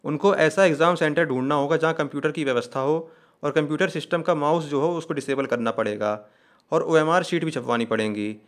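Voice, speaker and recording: A man with a somewhat high-pitched voice narrates an explanatory news update as a channel host. He goes through each point in a methodical, step-by-step way, spelling out terms as he goes.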